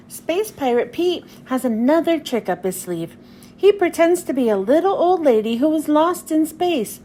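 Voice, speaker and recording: A woman's voice: high-pitched, darkish tone. A woman reading a children's storybook aloud as narrator, expressively, as if to young children. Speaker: children's book reader. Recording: faint background noise.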